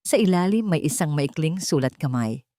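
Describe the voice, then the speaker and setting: A woman narrating a scripted story in an earnest, sentimental, measured way. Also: deep voice, lively intonation, warm tone, dry recording.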